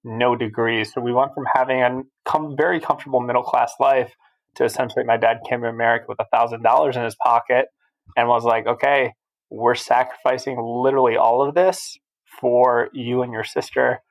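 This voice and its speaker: Flat-toned man from Albania, guest. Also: mid-range voice, a little fast, dull audio. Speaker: podcast guest. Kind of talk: casual interview chat.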